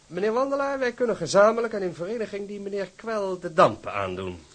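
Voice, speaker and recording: An actor playing a formal, eager, scheming company director in a radio drama, with pompous, flowery courtesy. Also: male voice, sits high, sing-song, fast. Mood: courteous, eager.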